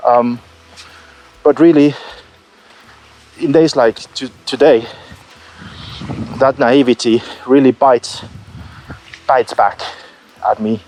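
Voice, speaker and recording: A man talking through his creative doubts in an unscripted vlog, halting and self-critical, with many fillers and restarts. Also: medium pitch, fairly even intonation, a little mellow, slight background noise. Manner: candid and self-critical, with occasional swearing. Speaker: solo musician vlogging his album process.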